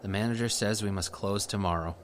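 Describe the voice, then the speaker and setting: A man's voice: fairly low pitch, brisk, faint music behind, pitched below the performer's own voice, natural voice. A man voicing a loyal, kindly tram driver in a storybook, speaking in a heartfelt way. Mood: sad.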